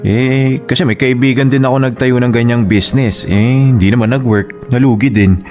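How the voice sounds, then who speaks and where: A man's voice: mid-range voice, fairly even intonation, moderate pace, darkish tone, soft background music, natural voice. A man playing a skeptical, condescending husband in a Filipino radio drama, talking in an offhand, doubting way. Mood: skeptical, dismissive.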